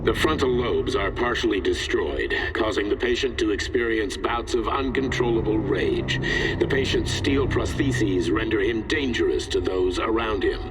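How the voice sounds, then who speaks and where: An actor playing a grave scientist who dictates clinical experiment records in a formal, measured way, heard as an echoey, noisy recording. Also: male voice, on the low side, lightly acted. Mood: cold, detached. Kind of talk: scripted clinical log narration. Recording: echoey distant playback with loud noise.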